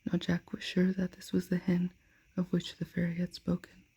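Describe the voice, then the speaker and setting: A woman reads a fairy tale in a soft whisper. Her breathy voice is dark and almost flat, with a faint gravelly texture. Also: fairly low pitch, normal speed. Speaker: storyteller. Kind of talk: whispered ASMR storytelling.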